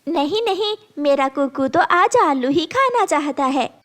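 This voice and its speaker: A woman's voice: high voice, lively intonation, measured pace, fairly bright tone, voice pushed up. A woman voicing a small, mild mother bird who timidly protests in a cartoon story. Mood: politely refusing.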